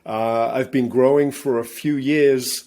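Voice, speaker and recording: An English-accented man in his thirties, speaking as a guest in casual conversation. He talks haltingly, circling back with restarts and fillers. He is heard through a video call from a room at home. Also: medium pitch, fairly even intonation, warm tone. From England. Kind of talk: casual interview.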